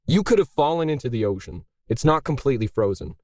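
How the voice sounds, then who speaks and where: A man's voice: mid-range voice, big pitch swings, quick pace, a little mellow, no room sound, pitched above the performer's own voice, natural voice. Man playing an irritable, scolding male artist, dry sound. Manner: scolding, complaining.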